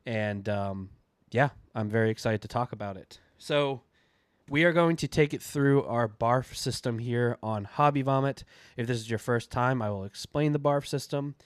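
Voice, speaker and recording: A man's voice: mid-range voice, normal speed, smooth, no echo, studio quality. A man with a cold and a stuffy, nasal voice, chatting casually as host of a book review video. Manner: chatty, loose, with fillers and restarts. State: congested from a cold.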